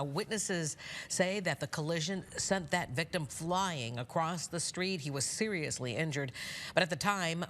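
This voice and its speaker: A woman's voice: low-pitched, a bit bright. A woman with an American accent reading scripted TV news as an anchor.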